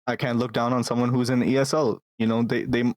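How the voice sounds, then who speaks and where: A man's voice: mid-range voice, flat delivery, quick pace, no echo, studio quality. A man in his twenties, born in Pakistan, talking earnestly as a guest in a casual interview and often repeating words to stress a point. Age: 20s. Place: Calgary, Canada.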